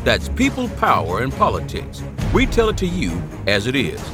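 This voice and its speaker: A man's voice: mid-range voice, huge pitch swings, normal speed. A man reading a scripted show intro in a punchy, sing-song promo style. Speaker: show announcer. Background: music bed.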